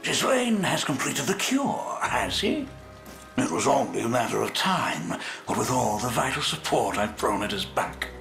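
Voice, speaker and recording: A gloating corpse-collecting villain for a fantasy game. His voice creaks and leaps dramatically up and down. He is smug and theatrical, with music playing behind him. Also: mid-range voice, big pitch swings, a little slow, a bit bright, exaggerated voice. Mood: boastful. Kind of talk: fantasy video game acting.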